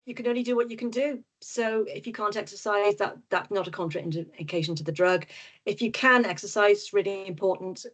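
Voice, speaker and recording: A woman's voice: medium pitch, brisk, fairly bright tone. A middle-aged woman with a Welsh accent, a doctor and nutritionist answering webinar questions frankly and reassuringly, with plenty of ums and restarts. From Wales. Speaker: GP and nutritionist guest speaker.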